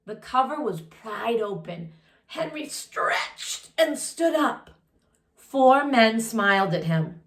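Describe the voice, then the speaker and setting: A woman reading a children's story aloud expressively, deliberately pausing to comment and put questions to young listeners. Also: on the high side, expressive. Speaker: storytime reader and teacher.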